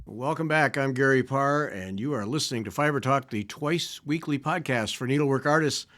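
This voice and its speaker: A man hosting a podcast and interviewing a guest, smooth on sponsor reads and halting when he asks questions. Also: mid-range voice, over music. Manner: halting, restarts and fillers when asking. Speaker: podcast host.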